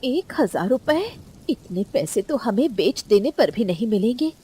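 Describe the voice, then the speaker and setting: A woman's voice: fairly high pitch, very animated, moderate pace, dark tone, breathy edge, full character voice. A woman voicing a distressed, imploring poor village mother in a Hindi cartoon story, with a plaintive manner. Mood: pleading. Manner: pleading, plaintive.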